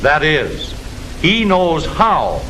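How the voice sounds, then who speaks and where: A man's voice: a little high, huge pitch swings, extremely slow, sharp tone. An American man delivering scripted 1940s newsreel-style narration for a military training film, in a declamatory, instructive manner. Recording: noisy old film soundtrack.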